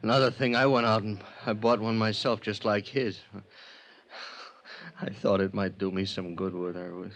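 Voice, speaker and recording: A man playing a weary, bitter, lovelorn suspect in a 1950s radio drama, halting and rueful. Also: on the low side, little pitch movement, a bit bright.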